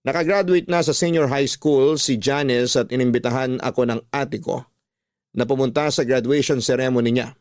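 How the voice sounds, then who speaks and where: A man's voice: mid-range voice, moderate pace, no room sound, clean studio sound, lightly acted. A man narrating a scripted first-person radio story as an uncle recounting his family troubles. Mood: reflective.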